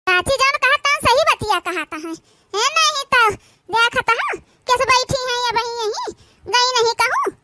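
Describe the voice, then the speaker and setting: An extremely high, piercingly bright cartoon teenage girl, acted by a woman, with an Awadhi accent. She is an aggrieved, distrustful village orphan with a whiny, complaining delivery. The recording is dry and close. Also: animated, moderate pace, no echo, full character voice. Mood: suspicious. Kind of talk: village cartoon comedy-drama acting.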